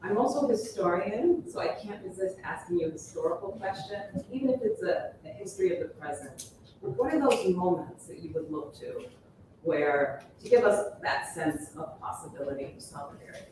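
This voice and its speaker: Soft-voiced woman asking from far off. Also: on the low side, very animated, normal speed. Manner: soft-voiced, thoughtful and polite. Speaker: audience member asking a question. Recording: distant, echoey, noisy room.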